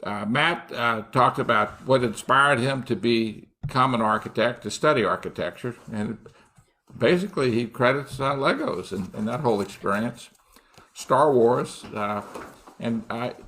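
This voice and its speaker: A man in his late sixties hosting a casual live-stream interview, halting with fillers and restarts. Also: mid-range voice, bright, forceful tone. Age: 60s. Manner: halting, many fillers and restarts, laughs often. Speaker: host.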